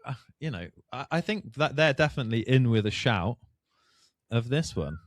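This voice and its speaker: A man's voice: mid-range voice, lively intonation, normal speed, dry recording. A man co-hosting a podcast, chatting casually and joking, often repeating words as he rambles. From immigrant to Australia. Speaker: podcast co-host. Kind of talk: casual banter.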